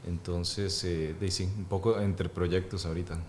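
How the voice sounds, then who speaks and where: Thoughtful thirtysomething man, low voice. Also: flat delivery, a little slow, darkish tone. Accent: Costa Rican Spanish. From Peru. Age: 30s. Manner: thoughtful, hesitant, many fillers. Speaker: actor and guest. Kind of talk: casual interview.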